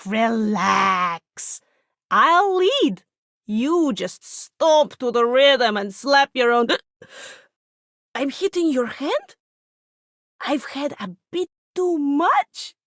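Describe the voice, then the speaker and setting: A woman's voice: high-pitched, a bit bright, dry recording, studio quality, exaggerated voice. An actress playing a bold, carefree, tipsy young woman in a video game, teasing and playful. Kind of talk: video game character voice line.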